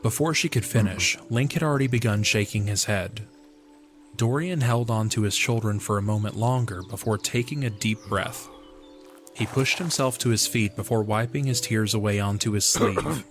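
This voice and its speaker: A man's voice: lowish voice, normal speed, faint music behind. A man reading scripted story narration as the narrator of an audio drama.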